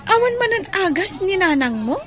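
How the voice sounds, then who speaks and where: A woman voices a young Ilocano schoolgirl, a caring friend in a radio comedy drama. Her pitch is very high, and a crowd can clearly be heard behind her. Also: sing-song, normal speed, a bit bright, exaggerated voice. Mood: sympathetic.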